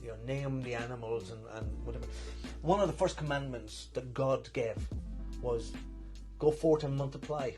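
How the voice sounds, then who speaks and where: An Irish man co-hosting a podcast, chatting casually and telling animated stories, sometimes tripping over his words. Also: medium pitch, moderate pace, over music. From Ireland. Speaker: podcast co-host. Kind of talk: casual chat.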